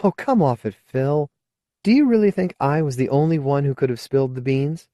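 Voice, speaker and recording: A man's voice: a little high, animated, normal speed, mellow, no room sound, muffled recording, pitched above the performer's own voice, lightly acted. A man voicing a frank, earnest, coaxing woman in an audiobook. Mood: exasperated, frank. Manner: earnest, coaxing, plain-spoken. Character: frank, persuasive woman colonist, old friend. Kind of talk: audiobook character dialogue.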